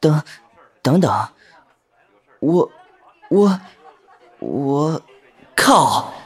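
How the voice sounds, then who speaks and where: A man acting a flustered young geek in love, with a fairly high voice that swings widely in pitch. He is hesitant and easily embarrassed, stammering like the nervous lead of a romance audio drama. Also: very animated, extremely slow, exaggerated voice. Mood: flustered, desperate. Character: shy, flustered, lovestruck geeky young man. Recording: music bed under the voice.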